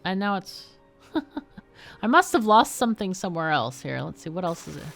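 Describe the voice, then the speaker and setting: A woman's voice: lowish voice, sing-song, normal speed, gravelly, a bit bright, soft background music. A woman chatting casually and thinking aloud as she plays a game on a livestream. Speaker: streamer and game player. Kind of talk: casual livestream gameplay commentary.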